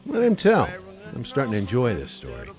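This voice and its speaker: A man's voice: medium pitch, huge pitch swings, a little fast, a little mellow, soft background music. A man playing a wisecracking, skeptical hunter in a radio play, mocking and sarcastic. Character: wisecracking skeptical hunter teasing his friend.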